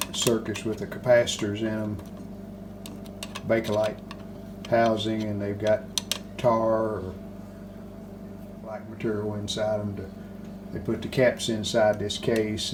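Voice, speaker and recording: A man with a fairly low voice talks through a hands-on repair in a casual way. He is very slow and unhurried, thinking aloud as he goes. There is clear noise behind his voice. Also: level tone. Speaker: hobbyist restorer presenting a repair video.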